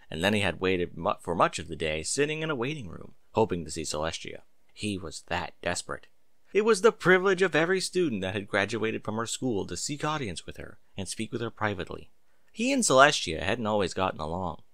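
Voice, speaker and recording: A man's voice: lowish voice, creaky, a bit bright, no room sound, studio quality. A man reading a story aloud as scripted narration, with an emphatic, theatrical delivery. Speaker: audiobook-style story reader. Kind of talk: expressive scripted narration of fan fiction.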